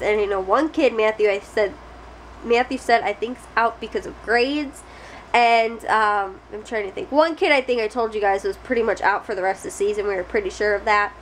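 A woman's voice: a little high, a little hiss or hum. A young adult woman vlogging casually to a close camera in a small bedroom, rambling with lots of fillers and restarts. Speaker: family vlogger. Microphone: close camera at face height.

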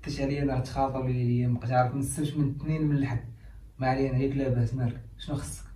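A man plays a brusque, scolding Moroccan older brother. He talks rapidly in a somewhat high voice. The sound is echoey and distant, with faint noise behind. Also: level tone, mellow, close to an everyday voice. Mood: bossy. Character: bossy short-tempered brother, young adult man. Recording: roomy echo, mic set back.

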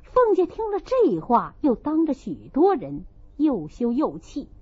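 Woman audiobook narrator, highish lively voice, bit dull lo-fi sound. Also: a little slow. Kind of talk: expressive storytelling narration of a classic novel.

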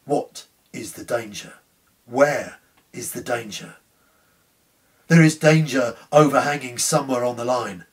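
A man's voice: medium pitch, measured pace, long pauses, lightly acted. A man voicing a tormented, fearful railway signalman in a dramatic story reading, earnest and anguished. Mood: anguished dread. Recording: slightly distant room microphone.

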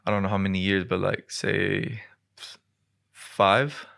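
A man's voice: deep voice, level tone, slow, creaky, a bit bright. A man in his twenties from Hong Kong, chatting casually and slangily as a podcast guest on a close studio microphone. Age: 20s. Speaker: basketball player, podcast guest. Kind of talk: casual chat. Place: small dim podcast studio indoors. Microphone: close studio mic on boom arm.

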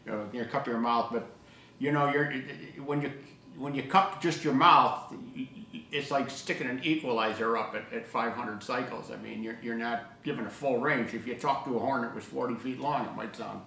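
An older man in casual hobby discussion as co-host, speaking in a halting, anecdotal way. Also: medium pitch, fairly even intonation, gravelly, pushed and bright, a little hiss or hum. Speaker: co-host of an audio hobby show. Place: living room table indoors. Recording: echoey room sound.

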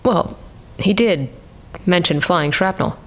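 A woman's voice: on the low side, expressive, slow. A blunt team leader in a sci-fi audio drama. Her delivery swings widely, sardonic and exasperated, with faint noise behind. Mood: dry.

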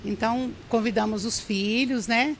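A woman's voice: a little high, fairly even intonation, normal speed, a little hiss or hum. A middle-aged woman who organizes a craft association, interviewed for TV news at an indoor craft fair on a handheld mic, talking in a rambling way with many restarts. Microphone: handheld reporter mic.

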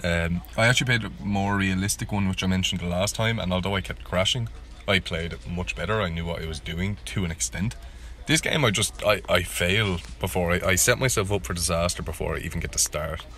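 A man's voice: sits low, brisk, fairly bright tone. A man giving casual live gameplay commentary in a self-deprecating way. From Ireland. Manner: self-deprecating, repeats words when flustered. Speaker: gaming video host.

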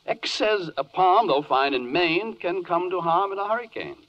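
An actor playing a fussy, argumentative go-between listing objections in rhymed 1940s radio comedy. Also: male voice, high-pitched, big pitch swings, normal speed. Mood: objecting. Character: fussy male interpreter relaying a client's objections. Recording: dull, band-limited 1940s radio recording.

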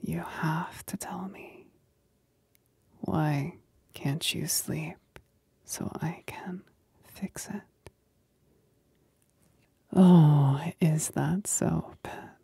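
A possessive female demon, played by a woman, whispers in an ASMR roleplay. Her voice is husky and breathy, drawn out very slowly and edged with creak. She teases and commands with syrupy condescension. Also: low voice, mellow, dry recording, full character voice. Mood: coaxing, commanding. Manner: slow, deliberate, condescendingly sweet.

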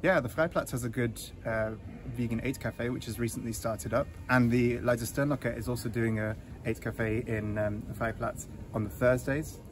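A man's voice: mid-range voice, steady tone, moderate pace, few pauses. Guy in his 30s chatting in a garden, lots of uhs, traffic noise around. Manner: easygoing, hesitant with many fillers. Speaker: interview guest, volunteer. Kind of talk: casual interview. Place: outdoor community garden. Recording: outdoor noise with passing traffic.

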